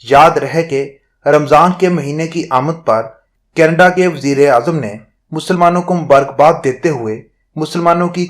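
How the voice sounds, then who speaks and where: Higher-pitched man reading formal news. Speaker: news narrator. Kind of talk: scripted news narration.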